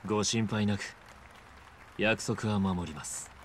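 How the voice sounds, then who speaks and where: A man's voice: on the low side, takes it slowly, a bit bright, some chatter behind, a little hiss or hum. An actor playing an elegant, vain male fighter and club owner in a video game, speaking with polished, formal theatricality. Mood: calm, gracious. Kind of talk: dramatic video game acting.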